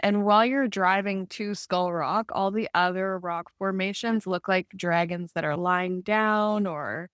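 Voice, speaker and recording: A woman's voice: mid-range voice, measured pace, hardly any pauses, fairly bright tone, clear, resonant tone, dry recording, studio quality. A woman in casual conversation, speaking in a chatty, informal way with lots of "like" in her sentences. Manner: chatty, informal, frequent use of "like". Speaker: podcast co-host or guest.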